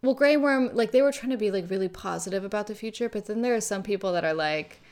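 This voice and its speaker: A woman's voice: mid-range voice, quick pace, darkish tone. A young-sounding woman co-hosting a casual TV recap podcast, hesitant and self-deprecating, with lots of filler words. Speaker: podcast co-host.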